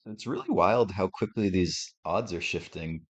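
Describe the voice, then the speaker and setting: A man with a deep, sportscaster-like radio voice, hosting a relaxed live reaction stream from home and thinking aloud as he talks. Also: normal speed, husky. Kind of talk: casual live game reaction commentary. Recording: slightly muffled lo-fi audio.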